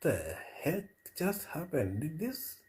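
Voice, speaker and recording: A man voicing a big, intimidating older male maths teacher who speaks in a gruff, dismissive way. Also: fairly high pitch, wildly swooping pitch, slow pace, darkish tone, pitched above the performer's own voice, exaggerated voice. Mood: baffled.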